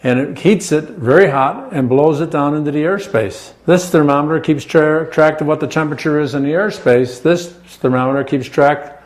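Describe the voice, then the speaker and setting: An older American man explaining his work informally and step by step, in a halting manner. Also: fairly high pitch. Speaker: farm owner and cheese maker. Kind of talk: informal explanation while demonstrating. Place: indoors in a small farm creamery. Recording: clear background noise, working creamery room.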